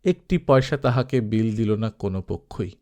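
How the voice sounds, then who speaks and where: A man's voice: on the low side, moderate pace, darkish tone. Man narrating a literary story. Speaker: audiobook narrator.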